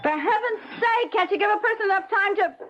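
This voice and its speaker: An actress playing an irritable, flustered housewife in an old-time radio comedy. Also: female voice, extremely high pitch, brisk. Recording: noisy, dull old-time radio recording.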